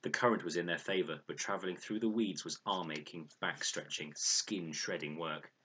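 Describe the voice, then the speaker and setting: A man reading a children's novel aloud as an expressive storyteller. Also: deep voice, normal speed, slight background noise. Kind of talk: expressive children's audiobook narration.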